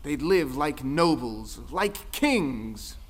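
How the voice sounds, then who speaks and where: An actor playing an excitable male folktale storyteller, theatrical, with dramatic, drawn-out emphasis. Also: fairly high pitch, exaggerated voice. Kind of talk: scripted theatrical folktale narration. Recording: music behind the voice. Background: music.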